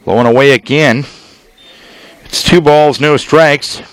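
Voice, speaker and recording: A man doing live baseball play-by-play as a radio announcer, speaking in measured, clipped phrases with deliberate pauses. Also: medium pitch, no echo. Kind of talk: live sports play-by-play commentary.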